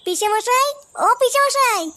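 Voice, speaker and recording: A man's voice: very high-pitched, a little slow, bright, forceful tone, no echo, exaggerated voice. A man voicing a cheeky, scheming young village slacker in a comedy cartoon, slangy and. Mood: curious. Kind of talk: foul-mouthed comedy cartoon dialogue.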